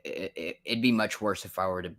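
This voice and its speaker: A man's voice: deep voice, a little fast, fairly bright tone. A man in his thirties in a casual voice chat, rambling and frank, swearing often. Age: 30s.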